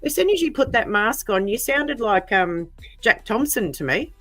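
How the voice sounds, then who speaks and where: A woman's voice: on the high side, very animated, moderate pace, over music. A woman with an Australian accent hosting a live stream, teasing and stumbling over her words as she talks. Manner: stammering restarts, friendly and teasing. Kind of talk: casual live-stream chat.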